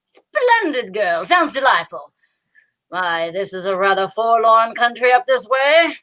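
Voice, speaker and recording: A woman's voice: a little high, sing-song, measured pace, a bit bright, poor audio. A woman playing a genteel, fussy detective's sidekick with an arch manner in an amateur old-time radio play. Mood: arch, pleased.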